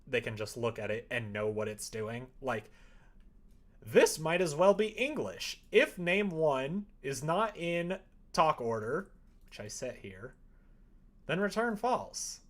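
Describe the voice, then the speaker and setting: A man's voice: a little high, expressive, slow. Casual man chatting on a livestream. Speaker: live-streaming programmer. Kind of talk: casual livestream chat while coding.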